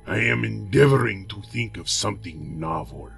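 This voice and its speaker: A low, heavily gravelly dwarf jester with a husky, edgy tone, as a male narrator puts him on. He speaks quietly and haltingly, bewildered but calm and defiant underneath. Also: soft background music, full character voice. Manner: subdued, halting, tranquil.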